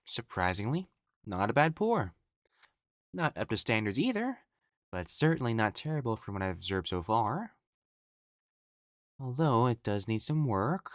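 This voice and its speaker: A man's voice: mid-range voice, sing-song, unhurried, pauses often, dry recording, clean studio sound. A man voicing an elegant, effeminate male matchmaker in a scripted audio roleplay, prim and formal, haughty yet easily flustered. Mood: haughty, instructive.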